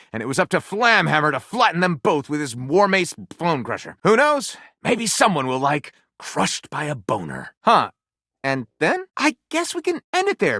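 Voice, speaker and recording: A grandiose male storyteller for a video game, flirty and wry. His delivery swoops dramatically across a wide range, with a bright, crisp edge. The sound is close and dry, and he narrates like a self-important author tossing in dry asides. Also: on the high side, no room sound. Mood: grandiose, amused, then wry, deflated. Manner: pompous, theatrical book narration with wry asides.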